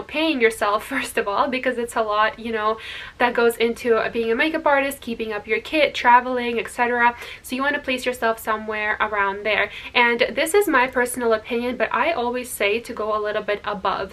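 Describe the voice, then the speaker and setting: A woman's voice: a little high, bright, forceful tone. A woman makeup artist giving chatty, earnest advice in her room, her voice a little stuffy and nasal from allergies. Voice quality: slightly nasal. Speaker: makeup artist and channel host. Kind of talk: casual talking-to-camera advice. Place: her own cold room.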